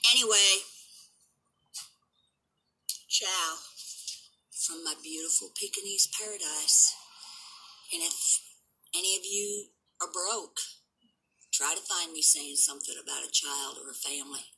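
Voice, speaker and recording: Brief: woman, 70s, rough and hard-edged, low-set pitch, taunting, boastful vlog rant. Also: animated, measured pace, pauses often, pushed and bright, low-quality recording. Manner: defiant, boastful, taunting critics. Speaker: vlogger and dog breeder. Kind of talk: unscripted rant to camera.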